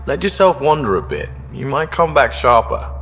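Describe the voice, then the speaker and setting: A roguish drifter in a video game. His mid-pitched voice swings widely as he teases in loose, easygoing phrases. It rings in long reverb. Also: huge pitch swings, fairly bright tone. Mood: teasing, laid-back. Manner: loose, teasing, drawn-out phrases. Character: roguish, cocky, easygoing male space drifter. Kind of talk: video game dialogue acting. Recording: long reverb, music behind.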